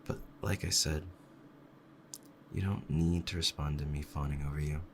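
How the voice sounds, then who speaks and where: A man playing a devoted, clingy yandere fox-spirit husband in an intimate ASMR roleplay, speaking in a soft, tender bedtime murmur. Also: rumbling low, fairly even intonation, normal speed, slight background noise. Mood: tender, adoring.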